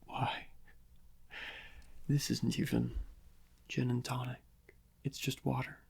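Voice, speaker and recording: A man playing a vain, theatrical criminal mastermind who gloats and draws out his phrases in a soft-spoken ASMR roleplay, with a breathy, gravelly voice. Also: mid-range voice, level tone, heavily acted. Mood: aggrieved, self-pitying. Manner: slow, drawn-out, melodramatic, savouring each phrase with long pauses.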